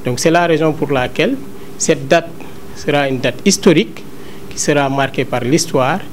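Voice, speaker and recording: A man's voice: on the high side, normal speed, slight background noise. A man with a Senegalese French accent giving a formal statement as a local official, in official phrasing.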